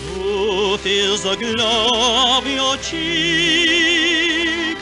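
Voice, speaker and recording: High, slow operetta soprano. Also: female voice, fairly even intonation, music in the background. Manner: drawn-out, sentimental phrasing. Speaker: operetta singer. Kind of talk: classical operetta singing, 1940s radio. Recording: vintage 1940s radio recording. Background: orchestra accompaniment.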